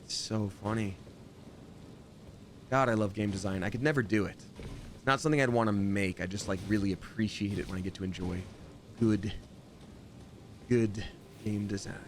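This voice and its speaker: A man chatting casually and at length while streaming a video game, rambling along and jumping up in excitement. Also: lowish voice, normal speed, long pauses, slight background noise. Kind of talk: live gameplay commentary. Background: game music.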